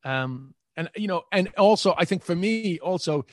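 A man's voice: sits high, expressive, moderate pace, no room sound, clean studio sound. A man with a New York accent, a comedian talking casually in an interview and often restarting his sentences. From New York, Queens. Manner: rambling, repeats words and restarts. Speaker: comedian guest.

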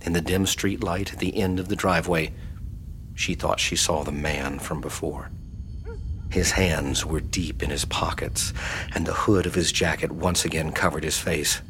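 An actor playing the ominous narrator of a supernatural horror audio drama, speaking in a measured, suspenseful, hushed way. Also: male voice, a bass voice, steady tone, a little hiss or hum. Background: music.

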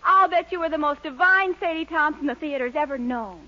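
An actress playing an eager young daughter and sweetheart in a 1940s radio comedy, gushing and exclamatory. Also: female voice, high voice, brisk, bright, forceful tone. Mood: flattering, coaxing. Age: young adult. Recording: muffled, noisy 1940s radio recording.

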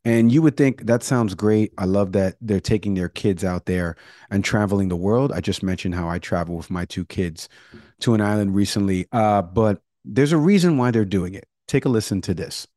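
A man's voice: lowish voice, moderate pace, dark tone, dry recording, studio quality. A man hosting a talk show, sharing a news story in a loose, rambling way with many fillers and restarts. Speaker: show host. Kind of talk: casual news talk segment.

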